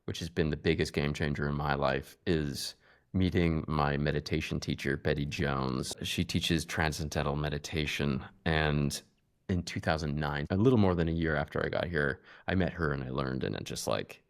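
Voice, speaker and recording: Very low male voice, unhurried, halting, lots of fillers, casual chat. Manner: relaxed, halting, many fillers. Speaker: podcast guest, actor.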